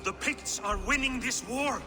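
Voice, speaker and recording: An insistent war counselor with a high voice, heard with long hall reverb and clear music behind him. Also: male voice, measured pace. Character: urgent male war counselor. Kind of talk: video game cutscene acting.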